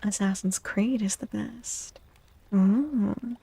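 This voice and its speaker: Soft, breathy, half-whispering woman, close-up. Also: mid-range voice, dry recording. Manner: gentle, unhurried, greeting chatters. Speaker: livestream host, VTuber. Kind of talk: soft-spoken ASMR livestream chat. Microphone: close microphone, dry.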